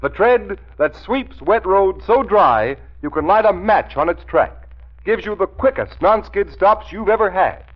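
Lively high-pitched American radio announcer, male. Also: a little slow. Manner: emphatic, formal, dramatic delivery. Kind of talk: 1930s radio announcing, scripted narration and advertising. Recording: muffled 1930s radio recording.

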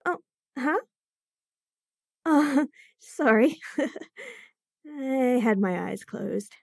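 A woman's voice: expressive, extremely slow, gravelly, a little mellow, no room sound, clean studio sound, exaggerated voice. Woman voicing a stammering flustered bunny girl. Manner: stammering, squeaky, nervous giggles. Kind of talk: roleplay audio drama.

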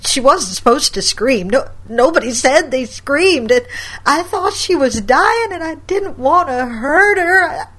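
A woman's voice: high voice, animated, unhurried, a bit bright, pitched above the performer's own voice. A woman voicing a panicked young man who stammers and pleads through tears. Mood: tearful. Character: panicked, weeping young male grandson.

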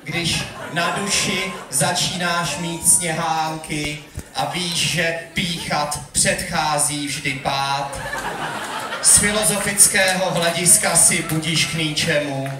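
A man's voice: fairly high pitch, steady tone, over music. A young man performing slam poetry live on a club stage, reciting in a rhythmic, theatrical way. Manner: rhythmic, wordplay-driven, theatrical delivery. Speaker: slam poet competing on stage. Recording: live club hall echo, audience noise.

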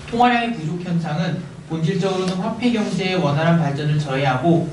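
A man reads a passage aloud in a classroom at a steady pace, tripping over a word now and then. He sounds far from the mic, in a very echoey room. A clear hiss runs underneath his voice. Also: a little high. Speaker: student reading aloud. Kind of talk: reading a text aloud.

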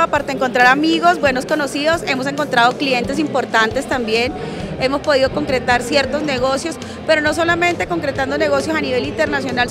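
A woman giving an interview answer as an exhibitor, speaking into a handheld microphone. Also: sits high. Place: large busy indoor trade fair hall. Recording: loud music and crowd behind.

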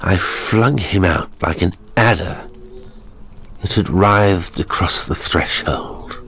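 Man playing a coarse, swaggering drunken nobleman, slow. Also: sits low, fairly bright tone, husky, exaggerated voice. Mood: bitter gloating. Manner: coarse, sneering.

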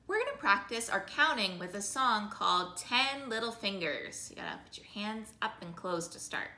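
A woman in her thirties hosting an interactive storytime, reading a picture book expressively and clearly for young children. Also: medium pitch, sing-song, moderate pace, bright, forceful tone. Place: small living room, seated on a sofa. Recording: roomy indoor sound.

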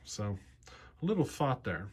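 A man in his forties talks casually in a cluttered garage workshop, his voice sitting at an ordinary middle pitch. He explains step by step in a plain-spoken, practical way, dropping in ums and you-knows. The sound comes from a handheld camera held close to him. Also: very animated, measured pace, darkish tone, husky. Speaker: chainsaw channel host.

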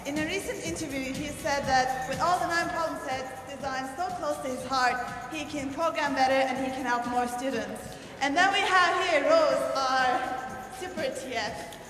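A woman's voice: sits high, moderate pace, a bit bright. A woman playfully introducing people one by one as emcee of a fashion show in a lecture hall. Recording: echoey hall with music behind.

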